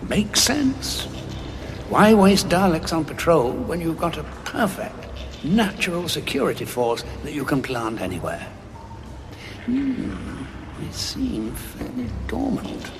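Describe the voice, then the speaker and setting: An actor playing a grim, war-weary Time Lord with a gravelly voice, deliberate and dryly sardonic, in an audio drama. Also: male voice, a little high, sing-song, background noise, heavily acted. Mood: urgent, wry. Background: background music.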